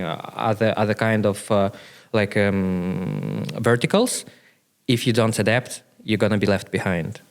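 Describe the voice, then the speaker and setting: Hesitant man, low smooth voice. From Russia. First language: Russian. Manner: hesitant, many "uh" and "like" fillers, speaks in short bursts. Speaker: podcast guest, business owner. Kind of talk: casual interview conversation.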